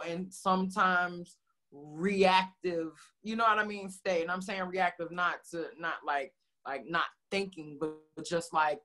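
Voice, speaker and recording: Deep-voiced woman, passionate and expressive. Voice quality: deep, heavy voice. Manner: passionate, expressive, many "like" and "you know" fillers. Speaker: interview guest. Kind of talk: casual conversational interview. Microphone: video call.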